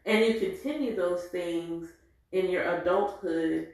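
A woman in her forties telling a candid, reflective personal story in an animated way. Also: fairly low pitch, measured pace. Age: 40s. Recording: very echoey room.

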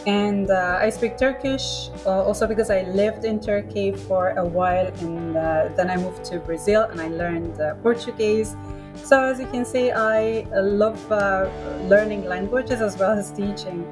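A woman from Iran, a native Persian speaker, who talks as a podcast guest and English teacher in a thoughtful, halting way with frequent uhs. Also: mid-range voice, over music. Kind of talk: casual podcast conversation.

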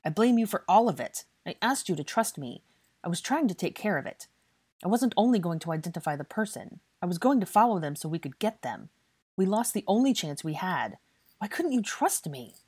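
A woman's voice: fairly low pitch, very animated, fairly quick, a little mellow, slightly rough, no room sound, pitched above the performer's own voice. A woman voicing a bitter, angry young man who scolds heatedly in an audiobook fantasy story. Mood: frustrated. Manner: heated, scolding, British swearing. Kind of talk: audiobook character dialogue.

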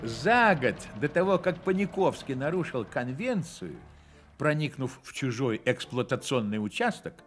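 An actor playing a wry storyteller, narrating a satirical novel for a radio play in a measured literary manner. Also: male voice, medium pitch, very animated, over music, lightly acted. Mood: wry narration.

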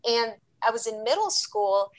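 A middle-aged woman from Brazil whose first language is Portuguese, a chemical engineer speaking as a guest in a reflective, measured way with many fillers. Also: fairly high pitch, sing-song. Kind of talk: conversational interview.

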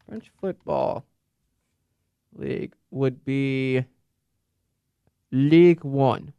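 A man's voice: medium pitch, steady tone, drawn-out words, gravelly, mellow, smooth, dry recording. A young man in casual, unscripted commentary, rambling and correcting himself with many fillers. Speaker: football video host.

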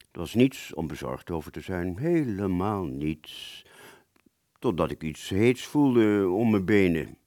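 A male storyteller with a low, creaky voice tells a first-person drama. His delivery is hesitant and conversational, swinging widely in pitch with drawn-out words and sudden emphasis. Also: dry recording, studio quality. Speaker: storyteller in an audio play.

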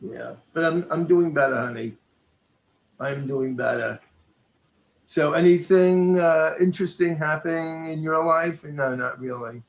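A middle-aged man from New York and Connecticut, a psychic medium chatting softly and keeping his voice low on a live online show. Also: vocal fry, rough recording. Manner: soft-spoken, deliberately keeps voice low. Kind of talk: live online chat and readings.

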